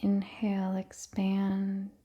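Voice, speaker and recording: Very slow, hushed woman guiding meditation. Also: mid-range voice, completely flat delivery, muffled recording. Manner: gentle, soothing, unhurried with long pauses. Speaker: meditation guide. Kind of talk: guided meditation, soft breathy near-whisper.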